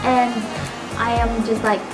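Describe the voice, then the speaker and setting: A woman's voice: a little high, level tone, a little slow, poor audio. A young woman with a Filipino accent, telling her own work story in an earnest, chatty way, full of fillers. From Philippines. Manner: earnest, chatty, many fillers like "you know" and "like". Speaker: vlogger. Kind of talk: casual personal storytelling. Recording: music playing underneath.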